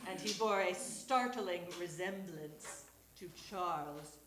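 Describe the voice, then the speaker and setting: A woman's voice: fairly low pitch, slow pace. A woman reading a personal essay aloud to an audience at a live storytelling show. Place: small theatre stage. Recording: roomy live stage recording with background noise.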